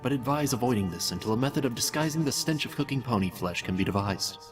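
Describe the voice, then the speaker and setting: A man's voice: medium pitch, lively intonation, fast talker, close to an everyday voice. A man voicing a cold, detached mad scientist who pompously dictates cruel experiment notes in a fan-made audio drama. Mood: coolly menacing. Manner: clinical, detached, pompous, menacingly polite. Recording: distant voice with music behind.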